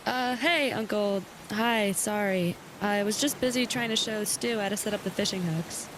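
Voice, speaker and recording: A woman's voice: mid-range voice, moderate pace, noisy background, natural voice. An actress playing an anxious, defensive young woman captaining a small boat in an audio drama, hesitant and evasive. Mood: nervous, evasive.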